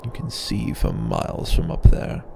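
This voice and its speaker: A man playing an arrogant, possessive male blue dragon in a slow roleplay ASMR monologue, with a gravelly, breathy growl and a haughty, rambling manner. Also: music in the background, close to an everyday voice. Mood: proud, musing. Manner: haughty, rambling, with pauses and sighs.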